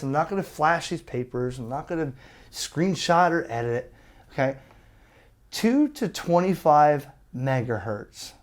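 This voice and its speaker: A man's voice: a little high, darkish tone. A man giving an opinionated, exasperated video rant to his viewers in a blunt, emphatic way. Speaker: ham radio YouTuber.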